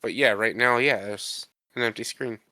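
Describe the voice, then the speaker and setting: A man's voice: medium pitch, normal speed, pushed and bright, dry recording. A man co-hosting a podcast while congested from a cold, rambling and defensive in casual chat. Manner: rambling, defensive, restarts often. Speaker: podcast co-host.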